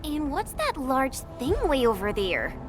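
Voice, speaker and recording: A spirited young game heroine with a fairly high, hugely animated voice. She sounds casual but wary, taking her time over the words as music plays behind. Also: measured pace. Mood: uneasy, spirited. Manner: expressive, casual, questioning. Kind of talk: video game character acting.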